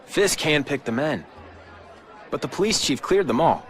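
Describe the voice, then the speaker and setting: Guarded young American corporate heir. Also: male voice, a little high, lively intonation, moderate pace, fairly bright tone, a faint crowd. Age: young adult. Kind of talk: video game voice acting.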